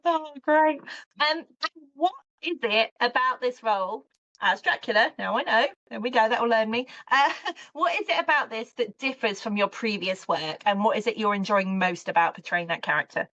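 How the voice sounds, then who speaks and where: A bubbly woman hosts an interview, quick to laugh and easily excited. Her voice sits a little high. The sound is dry and dull, like lo-fi call audio. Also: no echo. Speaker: interview host. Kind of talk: casual interview chat.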